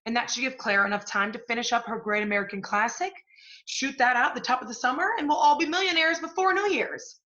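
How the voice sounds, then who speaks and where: A woman's voice: a little high, fast, pushed and bright, muffled recording. A woman playing a brash, scheming, profane literary agency boss in a stage play. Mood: triumphant, scheming. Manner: pushy, profane, salesy. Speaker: stage actor. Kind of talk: theatrical one-act stage play acting.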